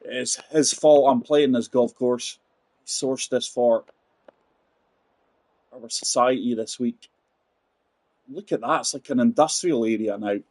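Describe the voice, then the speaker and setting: Husky-voiced man in his forties, chatting. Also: mid-range voice, measured pace, pauses often, darkish tone, no echo. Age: 40s. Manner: chatty, talks straight to viewers as "guys". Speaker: game streamer. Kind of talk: live gameplay commentary.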